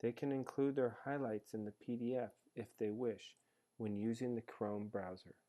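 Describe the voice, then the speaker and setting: Male narrator for a step-by-step instructional screencast; soft-edged, mellow tone, measured explanatory read. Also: mid-range voice, steady tone. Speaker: narrator of a software tutorial. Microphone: screencast voice-over.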